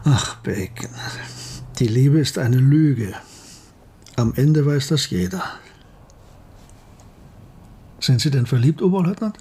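An actor playing a world-weary older physics professor in a German radio drama, husky and wry. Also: male voice, medium pitch, animated, measured pace, dark tone. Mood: weary, guarded. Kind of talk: radio drama acting, dialogue and first-person narration.

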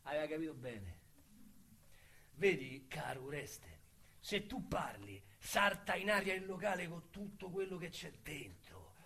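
An actor with a Roman accent playing a boastful small-time male crook in a radio drama, talking in a colorful, slangy way that swings between loud bragging and hushed menace. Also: a little high, big pitch swings, a little slow, slightly rough, exaggerated voice. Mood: menacing. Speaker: narrator-protagonist.

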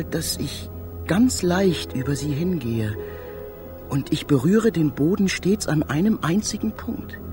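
Rapturous dreamer-traveller, higher male voice, wide swooping delivery, eloquent radio drama monologue, music behind. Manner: declamatory, rapturous, theatrical. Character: awestruck male space traveller, dreamy poet-philosopher, eloquent and proud.

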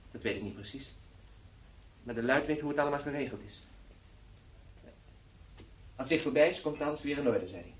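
An actor playing an uneasy scavenger in a radio drama, talking in a casual mutter. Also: male voice, mid-range voice, fairly quick, long pauses, natural voice, low-quality recording. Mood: wary.

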